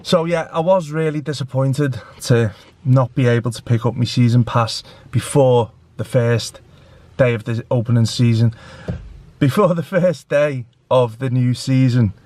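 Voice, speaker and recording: A man's voice: mid-range voice, measured pace, mellow. Chatty man vlogging. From England. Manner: chatty, self-mocking, rambling. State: recovering from flu. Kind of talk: casual vlog chat to camera.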